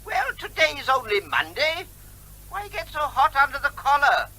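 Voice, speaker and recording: An actor playing a mock-polite, evasive neighbour who needles and quibbles in breezy, pedantic fashion in a comic radio play. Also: male voice, very high-pitched, very animated, normal speed, sharp tone, full character voice, poor audio. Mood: smug teasing. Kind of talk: comic radio drama acting.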